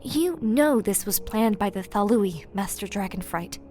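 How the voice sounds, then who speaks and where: A man's voice: sits high, animated, measured pace, darkish tone, soft background music, voice pushed up. A man voicing a stern young woman ninja leader who speaks with firm command. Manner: commanding, reproachful.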